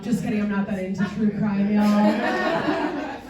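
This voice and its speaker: A woman, delivering bawdy punchlines deadpan between chatty asides. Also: mid-range voice, unhurried, echoey room, far from the mic, over a noisy crowd. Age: 40s. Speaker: stand-up comedian. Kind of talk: stand-up comedy set. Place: comedy theatre stage before a live audience.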